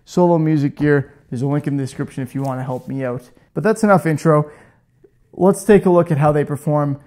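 Guy in his 30s showing off sharpening stones, casual and jokey, lapel mic in a garage shop. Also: mid-range voice. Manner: chatty, self-joking, animated with hands. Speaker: woodworking channel host. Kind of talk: casual how-to demo. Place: garage workshop, indoors.

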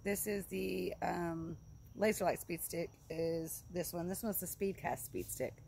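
Casual female vlogger, lowish voice with strong creak, loose and repetitive delivery, recorded outside. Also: unhurried, dark tone. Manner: rambling, informal, repeats herself. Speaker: vlogger reviewing fishing gear. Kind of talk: casual product review to camera. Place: outdoors in a leafy yard by a log building. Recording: clear outdoor background noise.

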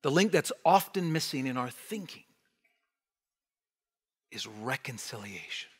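A man in his forties preaching a sermon from a pulpit, animated and emphatic, dropping to near-whispers on key words. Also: medium pitch, measured pace, breathy edge. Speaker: pastor. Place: church stage behind a wooden pulpit.

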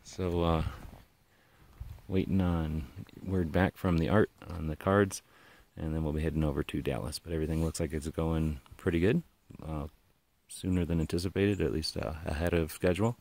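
A man giving a relaxed, rambling walkaround tour of his van outdoors, talking into a handheld camera. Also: very deep voice, normal speed. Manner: relaxed, rambling, frequent uh fillers. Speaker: vlogger. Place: outdoors in a mountaintop parking lot beside a van. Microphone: handheld action camera. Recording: outdoor camera audio with clear background noise.

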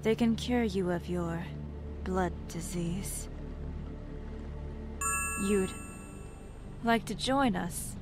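A woman plays a cold, remorseless hunter of vampires in a fantasy game. The delivery is slow, brooding and deliberate at middle pitch, with music clearly behind it. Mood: mocking, menacing. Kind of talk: video game dub acting.

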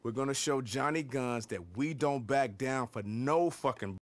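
A man's voice: medium pitch, normal speed, dry recording, studio quality, natural voice. An actor playing a cocky, hot-tempered male music mogul in a game cutscene, brash, profane and commanding. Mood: defiant, commanding. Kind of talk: video game cutscene acting.

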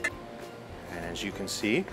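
Middle-aged chef explaining in a kitchen. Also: male voice, low voice, takes it slowly, over music. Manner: relaxed, explanatory, with frequent fillers and restarts. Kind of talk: cooking demonstration. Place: restaurant kitchen.

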